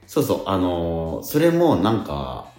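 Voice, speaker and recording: Middle-aged man, very deep voice, animated intonation, halting casual radio chat. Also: echoey room, faint music behind. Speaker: radio show host. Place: small tatami dressing room at a theatre.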